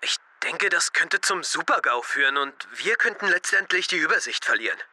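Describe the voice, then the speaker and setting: A man's voice: a little high, expressive, moderate pace, pushed and bright, exaggerated voice. An actor playing a blunt, defensive teenage skater boy in an audio drama, speaking matter-of-factly. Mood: analytical.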